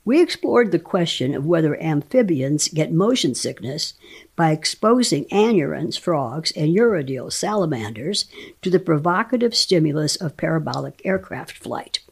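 A woman reading a scientific paper aloud as a guest dramatic reader, enunciating technical terms deliberately. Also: low voice, big pitch swings, creaky, husky.

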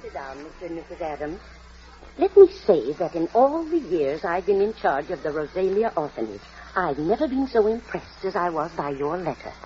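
A woman playing a composed, kindly but firm orphanage matron in a 1940s American radio drama, measured and polite. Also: fairly low pitch, big pitch swings. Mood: gracious. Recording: muffled old radio broadcast recording.

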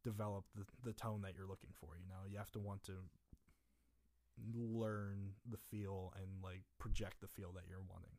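A man's voice: sits low, moderate pace. A young man chatting casually as a guest drummer, rambling with restarts, his voice a bit strained. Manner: relaxed, rambling with restarts and fillers. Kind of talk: casual chat.

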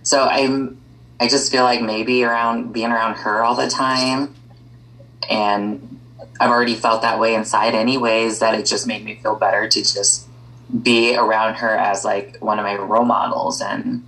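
A woman's voice: extremely low pitch, level tone, normal speed, a bit bright, poor audio. A woman speaking as a podcast guest in a casual conversation, easygoing and thinking aloud with frequent ums and likes.

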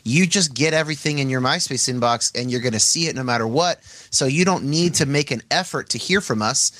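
Band guest, male, casual storyteller, lively delivery, dry mic. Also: no echo. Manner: animated, chatty, pitch jumps when quoting. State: has a head cold.

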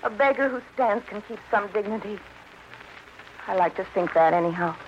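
A woman's voice: medium pitch, normal speed, lightly acted. An actress playing a desperate, proud young woman, intense and bitter in 1950s radio melodrama style. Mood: bitter pleading. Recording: muffled, noisy old radio recording.

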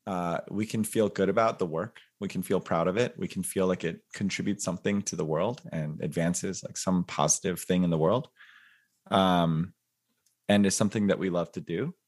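A man in his forties, a podcast guest, talking casually and thinking aloud with lots of fillers and restarts. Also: low voice. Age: 40s. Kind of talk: casual interview chat.

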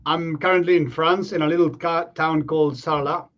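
A man, a guest on a remote interview who talks in a relaxed, reflective way with many fillers and restarts. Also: on the high side, moderate pace. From Argentina. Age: 30s. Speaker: guest, professional rugby player. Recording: slightly dull lo-fi call audio.

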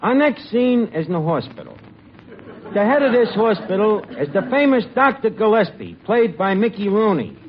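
Male radio comedy host-narrator: high, lively, mock-dramatic, slightly noisy dull broadcast. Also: moderate pace.

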